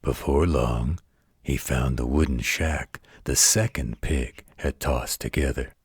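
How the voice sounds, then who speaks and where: An extremely deep, husky werewolf played by a man tells a bedtime story in an audio roleplay. He is gruff but protective and tender, taking his time with a folksy, wry delivery. The sound is close and dry. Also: no room sound, heavily acted. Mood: folksy storytelling. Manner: folksy, unhurried, gently wry.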